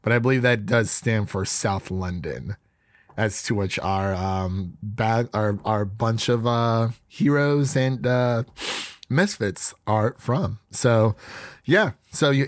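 A man hosting a podcast in casual chat, his voice raspy and stuffy from allergies, rambling with restarts. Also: medium pitch, measured pace, dry recording, clean studio sound. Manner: chatty, rambling with frequent restarts. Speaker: podcast host.

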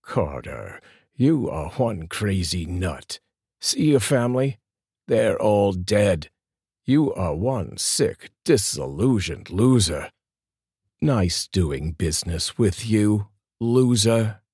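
Man reading as a contemptuous audiobook thug, very low gravelly-husky voice, deliberate threats. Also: big pitch swings, darkish tone, no echo, studio quality, full character voice. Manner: sneering, insulting, slow threatening delivery. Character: menacing middle-aged male thug, sneering and greedy.

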